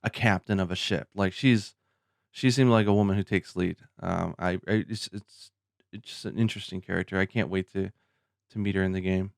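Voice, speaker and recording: Low-voiced man, halting casual talk. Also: fairly even intonation, vocal fry, dry recording, clean studio sound. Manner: halting, restarts, hedges his words. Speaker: podcast co-host. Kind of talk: casual chat.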